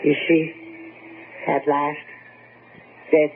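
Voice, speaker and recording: Timid, higher-voiced manservant. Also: male voice, monotone, drawn-out words. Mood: fearful. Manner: hesitant, timid. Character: fearful, deferential manservant. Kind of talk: old-fashioned radio drama acting. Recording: muffled, noisy phone-like radio recording.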